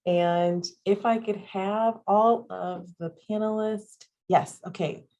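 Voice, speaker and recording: A woman's voice: mid-range voice, fairly even intonation, takes it slowly, dark tone, highs cut off. A woman presenting and moderating an online panel on a video call, upbeat and listing items with frequent ums. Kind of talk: webinar presentation.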